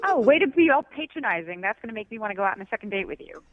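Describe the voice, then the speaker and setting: A woman's voice: medium pitch, expressive, rapid-fire, no echo, lo-fi sound. A woman, a caller on a radio dating segment, talking casually in a blunt, sarcastic, skeptical way. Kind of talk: argumentative casual phone chat.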